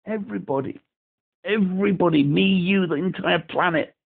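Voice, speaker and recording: Gravelly British man on a phone line. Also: high voice, moderate pace. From Britain. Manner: rambling, agitated, repeats himself. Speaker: caller. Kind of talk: phone call to a helpline. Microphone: telephone.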